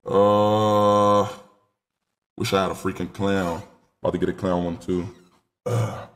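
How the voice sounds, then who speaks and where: A nineteen-year-old man streaming live from a small room on a headset mic, excitable and rambling, swearing freely. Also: deep voice, slow, vocal fry. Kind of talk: casual live stream chat.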